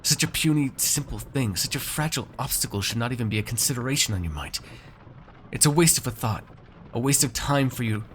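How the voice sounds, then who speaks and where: A man playing a haughty, shrewd noble master in a fantasy ASMR roleplay, speaking in measured, formal, condescending phrases to a servant. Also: medium pitch, expressive, a little hiss or hum, close to an everyday voice, low-quality recording. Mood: stern, instructing.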